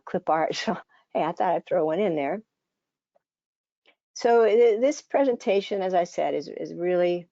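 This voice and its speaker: An older woman in her seventies gives a relaxed, chatty conference talk in a low, gravelly, scratchy voice. She often restarts sentences and fills pauses with little hesitations. The recording is dry and a bit dull. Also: dry recording. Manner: conversational, frequent fillers and restarts. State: hoarse, scratchy voice. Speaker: dietitian presenter. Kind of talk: conference presentation.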